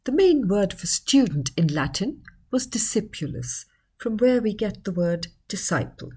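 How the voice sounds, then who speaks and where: A woman reading a scripted educational article in a measured, explanatory manner. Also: mid-range voice, big pitch swings, darkish tone, breathy edge. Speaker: article narrator.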